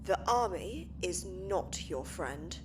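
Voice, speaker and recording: A woman plays an earnest streamer talking straight to her viewers in a lowish, steady voice. There is a constant hiss under the home recording. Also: takes it slowly. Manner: earnest, urgent address to viewers. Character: earnest female streamer exposing a conspiracy.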